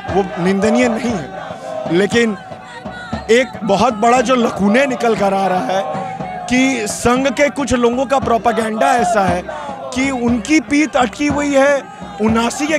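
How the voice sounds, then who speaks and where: A man's voice: very high-pitched, measured pace, music in the background. A young male student activist giving fiery answers into a handheld mic at an outdoor street protest. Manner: forceful, fiery political speech. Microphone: handheld reporter mic. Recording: outdoor protest with crowd behind. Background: crowd noise.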